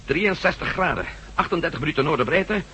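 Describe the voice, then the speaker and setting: Urgent adventurer, wildly varied pitch. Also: male voice, expressive, fast, sharp tone. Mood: firm, serious. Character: tense, urgent male adventurer leading a resistance team. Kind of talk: Dutch radio drama acting. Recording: very noisy radio drama recording.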